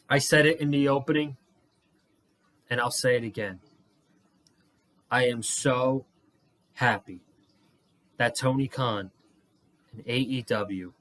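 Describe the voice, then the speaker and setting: A young man with a hoarse, worn-out voice, reviewing wrestling on his own vlog. He talks at an unhurried, drawn-out pace, rambling and swearing freely, and sounds tired. His pitch sits in the middle for a man. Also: a bit bright. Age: young adult. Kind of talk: casual solo review vlog.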